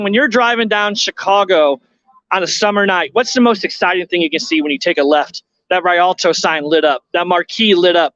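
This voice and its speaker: High-voiced man hosting a fundraiser. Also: fairly bright tone, no room sound, dull audio. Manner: earnest, pleading, repeats words for emphasis. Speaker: host of a theater fundraiser. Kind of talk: live donation appeal.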